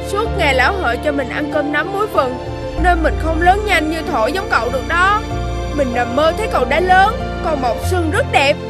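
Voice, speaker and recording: A very high, childlike voice of a kind little boy in a cartoon folk tale. He speaks earnestly and expressively, with devoted tenderness, over prominent music. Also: animated, a little fast. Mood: playful, affectionate. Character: small boy, kind, pleading, devoted to a young deer. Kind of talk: animated folk tale dubbing. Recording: music under the voice.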